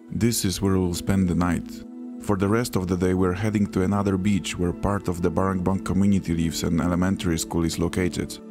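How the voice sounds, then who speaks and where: A man giving a plain, descriptive travel voice-over. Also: sits low.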